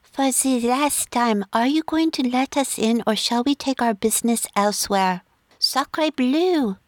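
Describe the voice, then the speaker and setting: Woman putting on a haughty high-pitched French lady. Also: normal speed, no room sound, clean studio sound, lightly acted. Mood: haughty, indignant. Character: haughty, indignant French woman with a theatrical temper.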